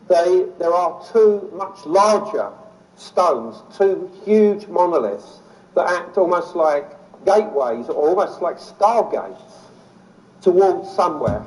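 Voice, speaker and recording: London guy giving an excited talk, kinda mellow higher voice, bit far from the mic. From London, England. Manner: enthusiastic, easily excited. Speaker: lecturer on ancient sites. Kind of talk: illustrated public lecture. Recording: voice distant from the mic.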